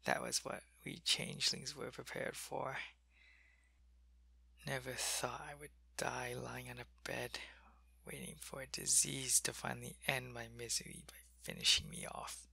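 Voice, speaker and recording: A man voicing a sick male alien changeling soldier, loyal to his queen, who talks in a soft, weary ASMR whisper. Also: mid-range voice, flat delivery, a little slow, creaky, breathy edge, no echo, full character voice. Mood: weary, ill. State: sick and weak, in pain. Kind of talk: ASMR roleplay.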